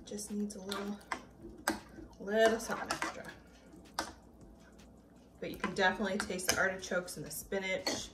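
A woman's voice: medium pitch, little pitch movement, moderate pace, long pauses. Chatty woman in an echoey kitchen. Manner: chatty, easygoing, tastes as she goes. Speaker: cooking vlogger. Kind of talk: casual cooking demo. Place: home kitchen. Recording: echoey kitchen, voice a bit far from mic, background noise.

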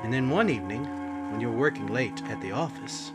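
A man's voice: mid-range voice, animated, close to an everyday voice. A man playing an ominous, all-knowing narrator of an old-time radio mystery, speaking to the listener as you. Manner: addresses the listener as you, measured. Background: music.

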